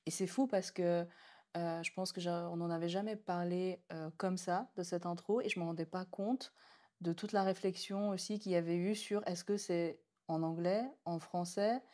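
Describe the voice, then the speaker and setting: A woman talks as a podcast guest in a somewhat low voice. She speaks gently and kindly, pausing often with hesitant fillers. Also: fairly even intonation. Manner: hesitant, many "euh" pauses, kind and gentle. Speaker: guest music producer. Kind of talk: casual podcast conversation.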